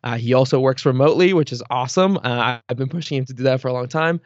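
A man's voice: mid-range voice, fast, no echo, dull audio. A man in his thirties, born in Bulgaria, talking loosely as a podcast guest and leaning on fillers like "like" and "you know.". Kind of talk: casual interview chat.

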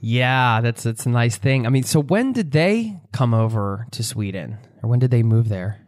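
A man's voice: mid-range voice, expressive, moderate pace, no room sound, clean studio sound. A man hosts a podcast in an easy, conversational way. He asks friendly questions that wander a bit before landing, and he chuckles often. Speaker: podcast host. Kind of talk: casual interview talk.

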